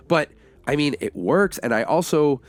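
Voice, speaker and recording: A man co-hosting a casual film review podcast, talking like an excitable fan with plenty of slang. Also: on the high side, moderate pace. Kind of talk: casual enthusiastic film discussion.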